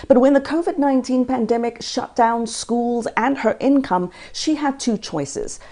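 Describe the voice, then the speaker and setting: A woman hosting a news magazine, presenting and interviewing with a polished, clear delivery. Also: a little high, measured pace, mellow.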